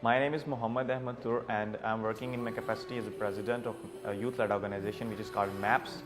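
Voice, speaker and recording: A man's voice: fairly low pitch, steady tone, quick pace, noisy background. A man, a student and president of a youth organization, introducing himself formally. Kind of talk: self-introduction.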